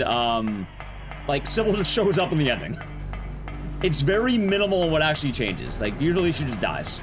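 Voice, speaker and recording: A man speedrunning a game and giving casual commentary, explaining things in a rambling, playful way. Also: fairly high pitch. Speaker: speedrunner, streamer. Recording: game music behind the voice.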